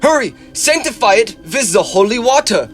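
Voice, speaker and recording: A man's voice: extremely high pitch, sing-song, normal speed, soft background music. An actor playing an urgent, commanding professor who hunts vampires, declaiming his orders in a radio drama.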